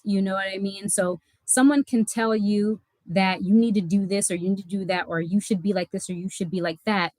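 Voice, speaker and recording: A woman's voice: mid-range voice, no room sound, poor audio. A young woman hosts her own channel and speaks to camera in an easy, candid way. She circles back and restarts her sentences, with plenty of "um" and "you know". Her mid-range voice is recorded close and dry. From United States. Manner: casual, many fillers and self-corrections. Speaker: life coach and channel host. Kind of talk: reflective personal talk to camera.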